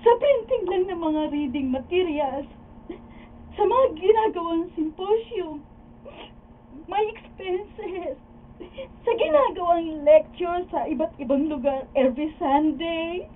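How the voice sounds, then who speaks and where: Very high female voice, actress as distressed loyal wife, plaintive and weepy, slow drawn-out delivery, radio drama. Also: phone-quality sound. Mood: tearful, aggrieved. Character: distressed loyal wife confiding tearfully in a friend. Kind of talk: radio drama acting in Tagalog.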